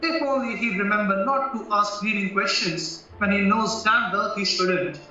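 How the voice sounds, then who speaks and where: Guy doing a testy top lawyer in a radio play, sharp bright voice, super echoey. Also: high-pitched, moderate pace. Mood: irritated. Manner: formal, questioning. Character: irritable senior barrister, a knighted man of brisk authority. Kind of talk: stage-style audio play acting. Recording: very echoey, distant, muffled, music behind.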